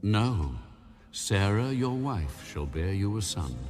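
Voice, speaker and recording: An actor playing God as a solemn, commanding, weighty voice in a dramatized audio Bible. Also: male voice, lowish voice, sing-song, a little mellow, soft background music. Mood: firm, majestic. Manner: slow, weighty, declamatory.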